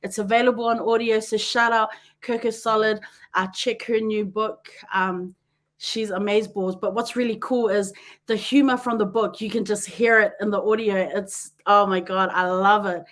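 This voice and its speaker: A woman with a New Zealand accent hosting a podcast, chatty and quick to laugh, often wandering off on tangents. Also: mid-range voice, fairly even intonation. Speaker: podcast host. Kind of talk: casual chat.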